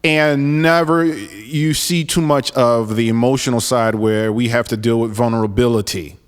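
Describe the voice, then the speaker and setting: Forties male podcast host, earnest and halting, smooth resonant tone, reverberant room. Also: medium pitch, fairly even intonation. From New York, raised in Florida. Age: 40s. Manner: earnest, halting with many restarts and fillers. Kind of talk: solo podcast monologue.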